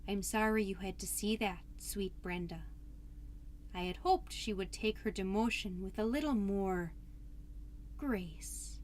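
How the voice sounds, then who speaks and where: A woman voicing a soft-spoken, condescendingly sweet female PTA president in a comedy podcast, hushed and soothing. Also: medium pitch, a little slow, slight background noise, close to an everyday voice. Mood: syrupy, condescending. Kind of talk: quoted dialogue in a comedic monologue.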